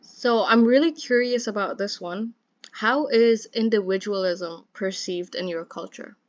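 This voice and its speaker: A young woman runs a casual student interview, asking questions in an upbeat, encouraging way. Her voice sits at a medium pitch. The sound is dull and slightly muffled. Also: measured pace.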